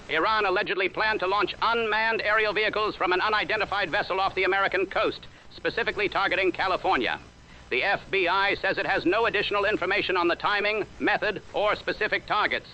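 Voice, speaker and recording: A man reporting as a Washington correspondent in a formal, measured 1930s radio newscast style. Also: high-pitched, bright, forceful tone. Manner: formal, measured, old-time announcer cadence. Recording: lo-fi vintage radio sound with faint hiss.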